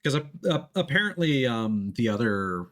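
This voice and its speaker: A man's voice: medium pitch, very animated, normal speed, a bit bright, soft background music. A man giving casual live game-stream commentary, thinking aloud in a hesitant, rambling way. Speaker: streamer, VTuber.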